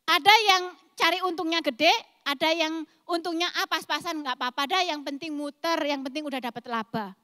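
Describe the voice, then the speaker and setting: A woman in her forties preaches with a thick East Javanese accent. Her voice is high and forceful, with an explosive, emphatic delivery. It rings through a reverberant hall as she addresses the congregation. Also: fairly quick, studio quality. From East Java, Indonesia. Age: 40s. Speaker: pastor. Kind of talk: sermon.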